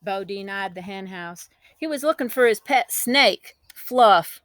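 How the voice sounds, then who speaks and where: A woman reading a children's story aloud in an expressive, dramatic, folksy storytelling style. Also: medium pitch, measured pace, no echo. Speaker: storybook reader.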